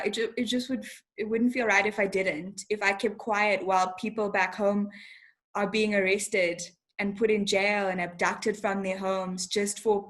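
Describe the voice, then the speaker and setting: A woman's voice: mid-range voice, fairly bright tone. A young woman from Zimbabwe, a TV host talking as an interview guest, speaking frankly and animatedly with casual slang.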